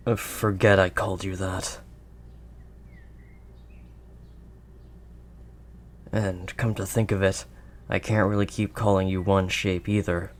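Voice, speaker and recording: Man voicing a deep, even-toned friendly werewolf. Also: close to an everyday voice. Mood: sheepish. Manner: halting, awkward, gently protective. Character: gruff but kindly male werewolf living wild in woods. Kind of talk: audio roleplay, intimate second-person acting.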